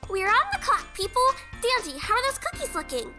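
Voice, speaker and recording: Exuberant, squeaky cartoon pony mom. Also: female voice, sing-song, a little fast, music in the background. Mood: frantic cheer. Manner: hectic, exuberant. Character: exuberant, bossy pink pony mother running a bakery. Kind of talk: cartoon-style audio drama acting.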